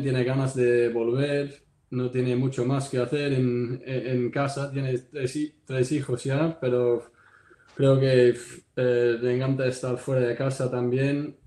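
A man who grew up speaking talks about a fellow player, slow and measured, searching for his words. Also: medium pitch, level tone, mellow, reverberant. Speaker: guest tennis player.

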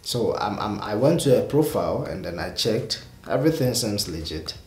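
A man's voice: fairly low pitch, very animated, normal speed, darkish tone, echoey room. A young man talking casually to camera in a small room, exasperated and rambling, often restarting his words. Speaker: vlogger. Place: small indoor room with purple lighting.

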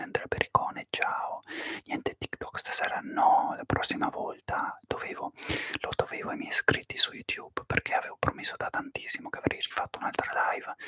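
A man whispering through a live ASMR stream, softly greeting viewers and slowly repeating their names into a close microphone. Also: medium pitch. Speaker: ASMR livestreamer.

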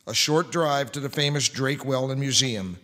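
A man's voice: mid-range voice, normal speed, bright, forceful tone. Middle-aged radio announcer man in reverberant hall. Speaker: radio show host and announcer. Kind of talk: old-time radio announcing, scripted ad reads. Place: large church-like hall on stage, indoors. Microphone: stand microphone at lectern.

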